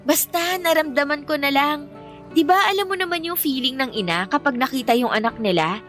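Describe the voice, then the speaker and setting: A woman playing a poor, wistful shop vendor with a secret, in expressive Filipino radio drama dialogue. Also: sits high, fairly quick, soft background music. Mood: earnest, confiding.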